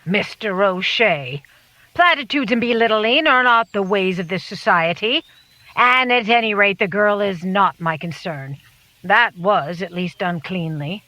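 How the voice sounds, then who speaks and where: An actress playing an imperious, disciplined leader of a secret society in an audio drama, formal and authoritative. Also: female voice, medium pitch, unhurried, bright, forceful tone, no echo. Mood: cold, disapproving.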